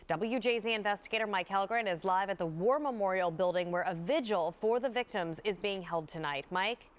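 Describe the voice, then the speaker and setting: A female television anchor with a middle-pitched voice. She delivers scripted news in broadcast style. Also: a little fast. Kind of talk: scripted newscast reading.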